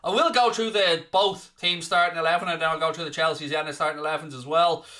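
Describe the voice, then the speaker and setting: Irish lad from the southeast hosting a footy stream, chatty and cheeky, bright voice. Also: male voice, on the high side, steady tone, quick pace. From southeast Ireland. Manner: cheeky, banters with and mocks the chat. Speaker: stand-in watchalong host. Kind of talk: casual live-stream chat reading the comments.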